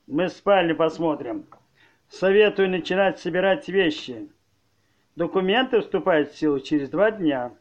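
An amateur actor playing a brusque, cynical thug of a debt enforcer in an audio play, blunt and slangy. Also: male voice, high voice, animated, moderate pace, dull audio. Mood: brusque, mocking.

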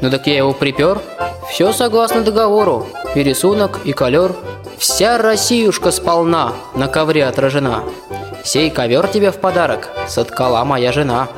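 An actor playing a plain-spoken, cheeky young peasant archer, talking in folksy rhyme in a fairy-tale radio play. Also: male voice, on the high side, lively intonation, moderate pace, close to an everyday voice. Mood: cheeky, defiant. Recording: music behind the voice.

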